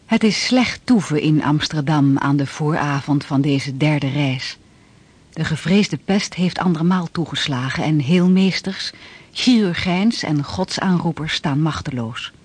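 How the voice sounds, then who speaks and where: A man narrating a historical radio drama in a measured, literary, declamatory way as a grave chronicler. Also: on the high side, breathy edge.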